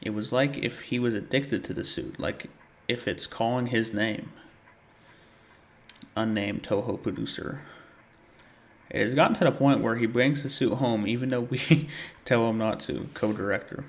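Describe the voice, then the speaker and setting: A man hosting a reaction video, casually commenting and reading captions aloud in a halting, thinking-aloud way. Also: medium pitch.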